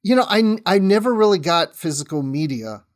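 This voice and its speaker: A man's voice: high-pitched, expressive, fairly quick. A talkative man chatting casually as a podcast guest, often breaking off and restarting his own sentences. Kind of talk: casual chat.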